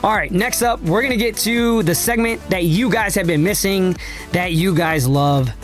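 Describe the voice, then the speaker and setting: A man hosting a casual crypto trading livestream, slangy and hyped, his voice raspy and hoarse from a cold. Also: high voice, moderate pace, faint music behind. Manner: slangy, hyped, addresses chat directly. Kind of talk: casual livestream market talk.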